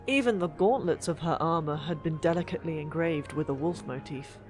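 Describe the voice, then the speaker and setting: A woman narrating a fantasy audiobook in an expressive, deliberate storytelling manner. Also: low-pitched. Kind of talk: dramatic scripted fiction narration. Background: background music.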